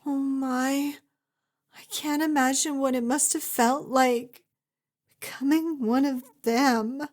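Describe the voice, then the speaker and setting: Fantasy huntress, played by a woman: high voice, slow delivery, tender and earnest, intimate ASMR roleplay. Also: fairly even intonation. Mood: anguished, remorseful. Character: fierce but tender young huntress reunited with her lost friend.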